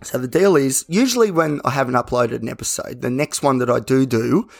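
An Australian man talks candidly as a solo podcast host. His voice is a bit croaky with plenty of fry, at an average pitch. He rambles conversationally and often corrects himself. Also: fairly quick, darkish tone, soft background music. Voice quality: slightly croaky.

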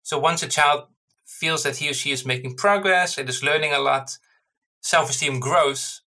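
Bright, tense-voiced male researcher. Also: a little high, normal speed. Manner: explanatory, careful, repeats words. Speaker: education researcher. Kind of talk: interview answers.

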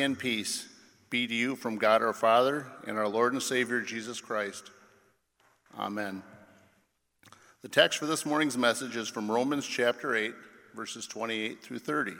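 A man with a creaky, gravelly voice at medium pitch reads out a formal sermon. Long reverb carries his words through a large church. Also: pushed and bright. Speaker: preacher reading another pastor's sermon.

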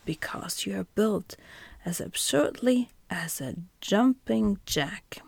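A woman's voice: mid-range voice, lively intonation, slow pace, creaky, a little mellow, husky, no echo, heavily acted. A woman voicing a sneering, grumbling male sawhorse in a whispered storybook reading.

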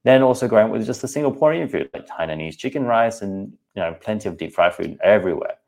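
A man in his thirties with an Australian accent and a low-pitched voice. He hosts a podcast in a casual, candid way and speaks directly to his listeners. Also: animated, quick pace, gravelly, a little mellow. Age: 30s. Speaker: podcast host and personal trainer.

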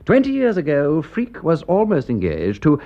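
An actor playing an aristocratic English gentleman detective in a radio drama, with an upper-class English accent and an urbane, emphatic way of talking. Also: fairly high pitch, wildly swooping pitch, moderate pace. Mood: urgent, persuasive. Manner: urbane, emphatic, drawling question tags. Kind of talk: British radio drama acting. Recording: dull band-limited radio recording.

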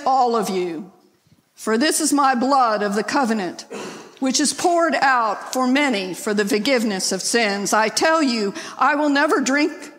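Slow, solemn woman reading as Jesus, deep reverb. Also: fairly bright tone. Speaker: scripture reader, church member. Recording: echoey church hall, long reverb.

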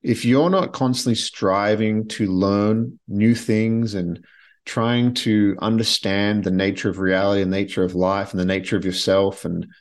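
A man's voice: lowish voice, steady tone, measured pace. A man hosting a podcast, talking bluntly and with strong opinions in a casual monologue, often restarting his sentences. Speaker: podcast host.

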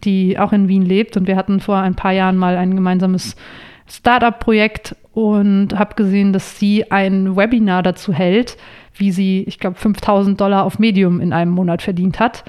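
A young woman, a guest on a podcast, answering interview questions in a friendly way and explaining things clearly and at length. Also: mid-range voice, little pitch movement, normal speed, a little mellow. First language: not English. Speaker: podcast guest, online writer.

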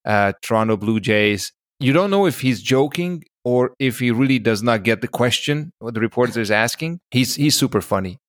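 A man's voice: medium pitch, lively intonation, normal speed, hardly any pauses, no echo, studio quality. A man speaking as a podcast co-host, telling stories in a casual, conversational way.

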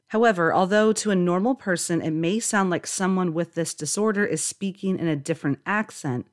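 A woman reading a scripted documentary narration in an even, informative way. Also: fairly low pitch.

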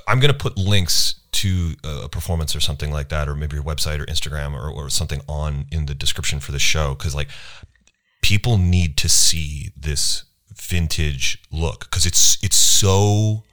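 A man hosting a podcast interview at a convention, enthusiastic and halting, with many restarts and fillers. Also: very deep voice, a bit bright. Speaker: podcast host. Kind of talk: casual interview. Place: convention venue.

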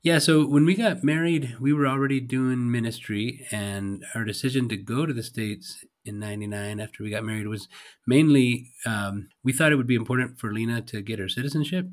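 A man in his forties who grew up in Spain, a missionary guest telling his story with many pauses and restarts. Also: mid-range voice. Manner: hesitant, many fillers and restarts. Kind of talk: casual interview.

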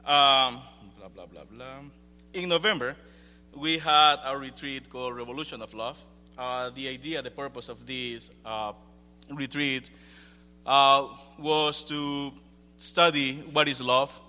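A man's voice: mid-range voice, sharp tone. Hesitant Ecuadorian-accented man in echoing hall. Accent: Ecuadorian Spanish. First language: Spanish. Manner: hesitant, self-deprecating, informal. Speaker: young adults ministry leader. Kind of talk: informal ministry update to a congregation. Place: large room, speaking to a gathering. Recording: echoing hall with faint hum and noise.

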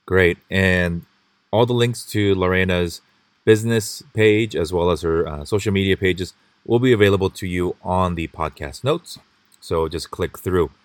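A man hosting a podcast, reading scripted intros and asking interview questions in a polite, measured way. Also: sits low, dry recording. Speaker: podcast host.

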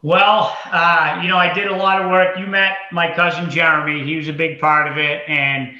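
A man's voice: on the high side, flat delivery, normal speed, pushed and bright. A man with a Massachusetts accent telling his story casually as an interview guest, in a colloquial, folksy way. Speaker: entrepreneur guest. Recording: dull lo-fi, roomy echo.

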